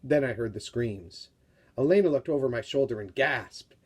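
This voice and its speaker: Middle aged guy, kids author doing a talk and reading, animated and dramatic, medium pitch. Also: normal speed, husky. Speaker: children's author reading from his book. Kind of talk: storytelling talk with read-aloud.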